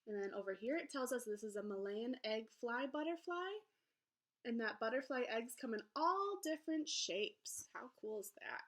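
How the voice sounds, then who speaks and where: A woman teacher reading aloud to young children in an encouraging, expressive storybook manner. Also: fairly high pitch, normal speed. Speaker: elementary school teacher. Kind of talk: children's read-aloud lesson.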